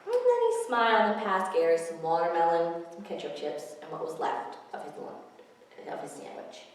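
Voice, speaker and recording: Female children's story time reader, expressive, mid-range voice. Very reverberant recording with faint noise. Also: fairly low pitch, moderate pace. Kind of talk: expressive picture-book read-aloud for children. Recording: very echoey, distant mic, faint noise.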